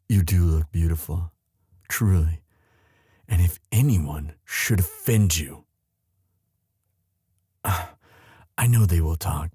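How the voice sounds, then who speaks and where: A tender young crown prince, acted by a man for a romantic audio drama, speaks in a low, dark voice with a formal, courtly manner. He is earnest and devoted, halting as feeling takes over, and the sound is close and very dry. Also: husky, no room sound, exaggerated voice.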